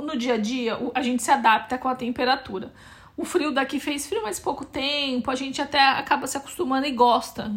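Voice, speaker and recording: A woman in her forties with a Brazilian accent, answering questions in a casual interview, thoughtful and hesitant, often restarting her phrases. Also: high voice. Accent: Brazilian Portuguese. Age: 40s. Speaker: interviewee, Brazilian immigrant in Portugal.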